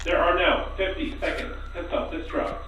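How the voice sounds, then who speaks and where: A man's voice: sits high, animated, moderate pace, pushed and bright. A man playing a lab's emergency announcement voice in a radio play, formally repeating urgent alerts and countdowns. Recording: echoey, distant, with crowd noise behind.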